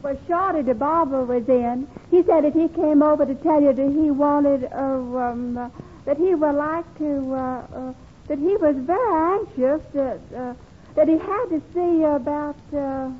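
An actress playing a polite, eager part-time secretary in a 1940s radio comedy, on a scratchy, tinny recording. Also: female voice, sits high, measured pace. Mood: hesitant.

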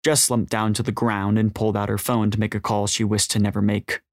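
A man's voice: on the low side, level tone, fairly quick, noisy background. A man reading scripted fiction as an audiobook narrator.

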